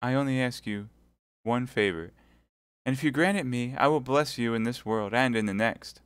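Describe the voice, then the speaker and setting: A man's voice: mid-range voice, expressive, measured pace, fairly bright tone, no room sound, studio quality, pitched above the performer's own voice. A man reading aloud voices a scheming captive noblewoman who pleads and prays in a tearful, quavering tone. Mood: pleading.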